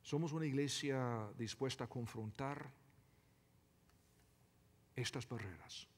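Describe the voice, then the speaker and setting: A man preaching a sermon as a pastor, emphatic and deliberate, often repeating his phrases. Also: medium pitch. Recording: reverberant hall with long echo.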